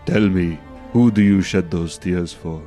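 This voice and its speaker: Man acting a deep, brooding, proud fantasy brother. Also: measured pace, dark tone, soft background music. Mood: cold, probing. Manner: grave, formal, theatrical speech without contractions. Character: brooding, proud, hardened brother, stoic yet anguished.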